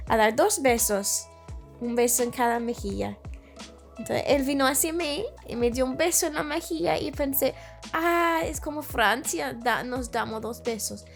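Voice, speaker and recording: Guest, young woman from Northern Ireland, raised on English, speaks Spanish with a Chilean twist; raspy-edged voice with light background music. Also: fairly high pitch. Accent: Chilean-inflected Spanish learner. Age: young adult. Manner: searches for words, restarts, laughs easily. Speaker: interview guest. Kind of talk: casual storytelling.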